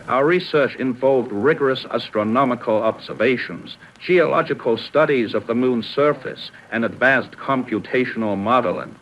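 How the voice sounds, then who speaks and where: A man's voice: medium pitch, close to an everyday voice. A man playing a dry, dismissive alien scientist, reading a scripted report in a formal, clinical way on dull, noisy VHS-style audio.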